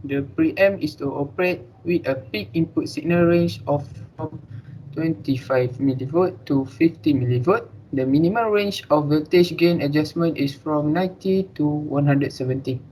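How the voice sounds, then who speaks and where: A man's voice: fairly high pitch, normal speed, darkish tone, a little hiss or hum, poor audio. A young man with a Malaysian accent reading out a scripted student presentation. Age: young adult.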